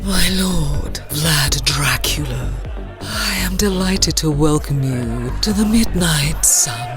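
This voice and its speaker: A woman's voice: low-pitched, expressive, takes it slowly, over music. A woman playing a poised, haughty female vampire head servant with formal diction in an audio drama. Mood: deferential, formal.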